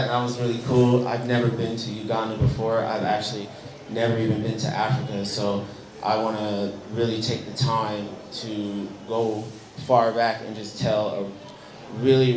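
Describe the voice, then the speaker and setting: A young American man from Chicago with a slightly hoarse voice, earnestly telling his story at a press event, with frequent ums. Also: lowish voice, reverberant, poor audio. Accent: Chicago American English. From Chicago, United States. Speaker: guest musician.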